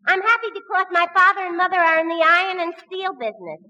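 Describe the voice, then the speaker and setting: An actress playing a cheerful gag-cracking sidekick in 1940s radio comedy, chirpily delivering punchlines. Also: female voice, extremely high pitch, expressive, fast talker, bright, forceful tone, lo-fi sound, full character voice. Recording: noisy, reverberant vintage radio recording.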